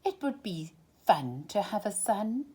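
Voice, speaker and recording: A woman's voice: mid-range voice, sing-song, unhurried, mellow, slightly rough, pitched above the performer's own voice. A woman voicing a kindly, doting old puppet maker from a children's storybook, in a tender, wondering manner. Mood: wistful.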